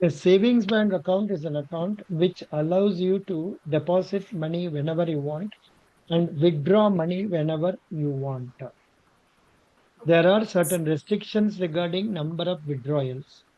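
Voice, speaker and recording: A man's voice: fairly high pitch, moderate pace. Instructive Indian man, dull lo-fi sound. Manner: instructive, direct. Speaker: interview coach. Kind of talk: mock interview questioning and advice.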